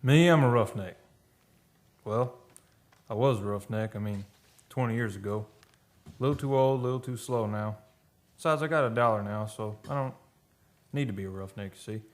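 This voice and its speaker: A man's voice: lowish voice, moderate pace, pauses often, lightly acted. A man playing a folksy, middle-aged retired oil-field worker, telling his story conversationally in a radio play monologue. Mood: chatty.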